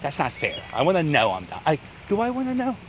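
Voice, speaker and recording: A man's voice: fairly high pitch, wildly swooping pitch, fast, dull audio, noisy background. A man in his thirties from Philadelphia, vlogging while walking through a wooded park, talking to himself in an anxious, joking ramble into a phone held at arm's length. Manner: anxious rambling self-talk, jokey. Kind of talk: walking selfie vlog.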